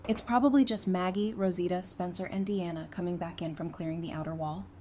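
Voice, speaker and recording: A woman's voice: lowish voice, steady tone, brisk, mellow, close to an everyday voice. A woman acting a frank survivor woman, noisy background. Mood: blunt. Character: Talissa, a blunt, consoling woman survivor of a zombie apocalypse. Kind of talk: audio drama acting. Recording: clear background noise.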